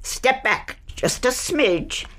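A woman's voice: high voice, big pitch swings, slow, sharp tone, full character voice. A woman playing an eccentric, mysterious sorceress in a fantasy audio drama, theatrical and commanding. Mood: brisk, eccentric.

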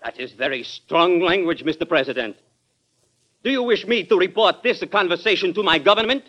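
An actor playing a proud, stiffly formal Italian ambassador in an old-time radio drama. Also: male voice, high voice, expressive, brisk, sharp tone. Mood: flustered, formal. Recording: dull vintage radio recording.

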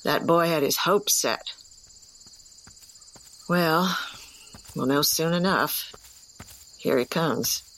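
An actress playing a stern, practical old rural great-aunt in an audio drama, with a folksy, no-nonsense way of talking. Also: female voice, sits low, takes it slowly, long pauses, a bit bright, slight background noise, heavily acted, low-quality recording. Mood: steady. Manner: firm, no-nonsense.

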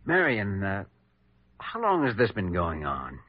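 Patient, firm young American doctor, husky low voice, vintage radio drama on a dull recording. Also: male voice, huge pitch swings, full character voice. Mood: gentle. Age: young adult. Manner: measured, hesitant, reasoning. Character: earnest, patient young male hospital doctor, quietly firm. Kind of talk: classic American radio acting. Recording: muffled old radio recording.

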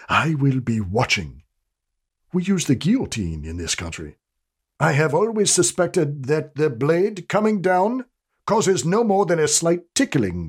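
A man playing a pompous, theatrically menacing French police inspector in a radio drama, with deliberate delivery and a French accent. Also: a little high, slightly rough, full character voice. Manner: pompous, deliberate, mock-ominous.

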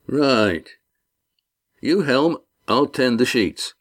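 Walt, a man doing a folksy rough innkeeper. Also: mid-range voice, animated, very slow, voice pushed up. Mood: gruff. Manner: folksy dialect, dropped grammar. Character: rough-spoken male innkeeper turned sailor, blunt and loyal.

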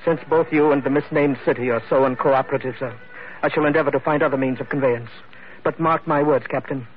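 A man's voice: medium pitch, fairly even intonation, brisk, close to an everyday voice. A man playing an aggrieved, pompous, wheedling merchant with flowery formal speech in a vintage radio drama. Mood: indignant, dignified. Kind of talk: 1940s radio drama acting. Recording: muffled vintage radio recording, faint music.

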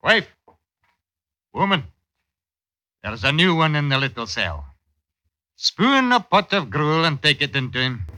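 An actor playing a stingy, callous jailer who bosses people about in folksy dialect, in old-time radio drama style. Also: male voice, a little high, very animated, a little slow, long pauses, sharp tone, exaggerated voice. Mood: gruff, ordering. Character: stingy, callous jailer bossing his wife.

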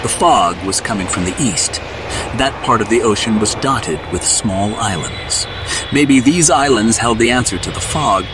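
A man's voice: on the low side, animated, unhurried, background noise. A man narrating a scripted superhero story in a suspenseful, dramatic storybook style.

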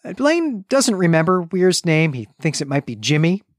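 A man's voice: on the high side, very animated, dry recording, clean studio sound. A man co-hosting a literary podcast, thoughtfully recapping and analyzing chapters of a novel. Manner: thoughtful, measured, with frequent self-corrections. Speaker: podcast co-host.

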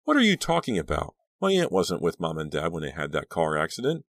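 A man's voice: a bass voice, big pitch swings, a little fast, no room sound. A man voicing a frightened, desperate teenage girl in a storybook, defiant and disbelieving, shrieking and demanding. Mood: demanding, alarmed.

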